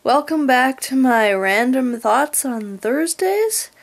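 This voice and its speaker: A woman's voice: high-pitched, big pitch swings, slow pace. A woman in her thirties with a stuffy cold, chatting casually in a rambling way from bed into a webcam. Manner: rambling, with frequent ums and light laughs. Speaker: vlogger. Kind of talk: casual vlog chat. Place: bedroom, indoors. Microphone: webcam at close range.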